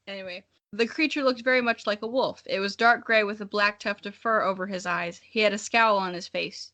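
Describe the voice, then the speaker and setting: A woman's voice: mid-range voice, pushed and bright. A young adult woman co-hosting a podcast, reading a story dramatically and chatting in a giggly, self-mocking way. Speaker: podcast co-host. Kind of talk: dramatic reading with casual comic commentary.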